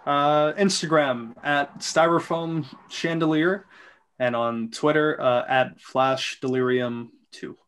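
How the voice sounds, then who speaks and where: A man's voice: fairly high pitch, fairly even intonation, slow pace, fairly bright tone, smooth. A man speaking casually as a panelist in an informal group discussion. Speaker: panelist on a script club livestream.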